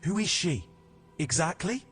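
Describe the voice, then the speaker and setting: A brash young-sounding rogue assassin, played by a man in a fantasy audio drama. His high voice moves animatedly, sarcastic and defiant, with faint music beneath. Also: animated, measured pace, a bit bright. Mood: wary, questioning. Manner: sarcastic, indignant. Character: cocky, sharp-tongued male assassin, brash and defiant.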